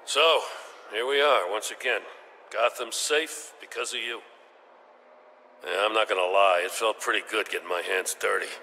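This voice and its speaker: A man's voice: on the low side, moderate pace, sharp tone, faint music behind. A man playing a weathered, plain-spoken older cop in a video game, with a wry, familiar manner. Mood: wry, reflective. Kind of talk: dramatic video game acting. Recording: long reverb, faint background noise.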